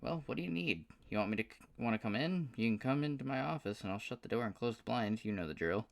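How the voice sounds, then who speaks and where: A man's voice: mid-range voice, lively intonation, fast, vocal fry, voice pushed up. A man voicing an eager, folksy male scientist with a Southern US drawl, pouting when he can't help. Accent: Southern US, put on.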